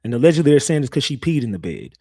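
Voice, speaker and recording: A young man with a slightly raspy, recovering voice, hosting a casual live stream and talking loosely to his chat with slang and lots of repeats. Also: mid-range voice, sing-song, very fast, dark tone, no echo, clean studio sound. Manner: loose, repetitive, talks straight to chat with slang. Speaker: live-stream true crime host. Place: home streaming setup.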